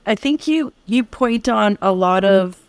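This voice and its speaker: An American woman co-hosting a podcast, reflecting at length in a warm, affirming conversational way with many restarts. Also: mid-range voice, expressive, a little slow, dry recording. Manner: reflective, affirming, speaks in long winding sentences with restarts. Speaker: podcast co-host.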